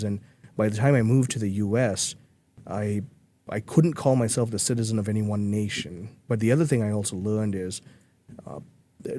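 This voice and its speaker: A man's voice: fairly low pitch, mellow. A man from Malaysia, speaking earnestly as a guest in a podcast interview, with frequent restarts. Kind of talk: conversational interview.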